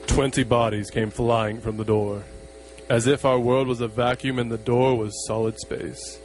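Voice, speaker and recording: A man narrating an amateur horror radio play in a solemn, measured, portentous way. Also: medium pitch, steady tone, darkish tone, a little hiss or hum.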